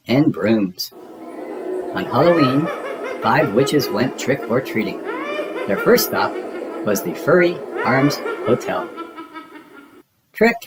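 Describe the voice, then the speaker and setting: A man reading a children's book aloud in an expressive storytelling style, acting out the dialogue. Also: mid-range voice, slight background noise, low-quality recording. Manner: animated, acts out character dialogue.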